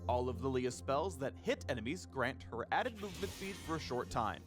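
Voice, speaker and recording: A man's voice: mid-range voice, expressive, a little fast, music in the background. A man reading a playful scripted promotional narration for a video game character showcase. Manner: playful, punning.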